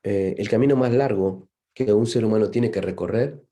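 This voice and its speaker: Mellow-voiced Argentine man lecturing. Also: fairly low pitch, normal speed. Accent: Argentine Spanish. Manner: thoughtful, many fillers and rhetorical "¿no?" tags. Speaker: lecturer and psychologist. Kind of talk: seminar lecture.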